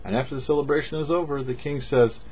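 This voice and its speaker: A man, a rabbi, giving a short sermon with parables in a measured storyteller's delivery, pausing between phrases. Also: mid-range voice, steady tone, darkish tone, a little hiss or hum. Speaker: rabbi, host of a weekly Torah portion show. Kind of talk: sermon with storytelling, read for a show. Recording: dull, lo-fi audio.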